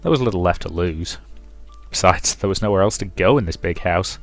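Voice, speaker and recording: A man's voice: sits low, brisk, soft background music. A man doing a let's play, reading a visual novel aloud and dropping in casual, chatty asides.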